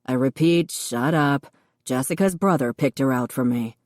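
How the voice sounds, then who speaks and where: A woman doing a gruff, dry-witted man. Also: rumbling low, normal speed, darkish tone, no room sound. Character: grown man, dry, gruff, self-deprecating brother.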